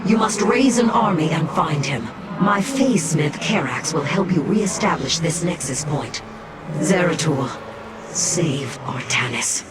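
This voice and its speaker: A woman's voice: low-pitched, animated, unhurried. An actress playing a commanding female alien military leader in a video game, speaking in a firm, commanding way. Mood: urgent. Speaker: game character. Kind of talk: video game cutscene voice acting. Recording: loud background noise.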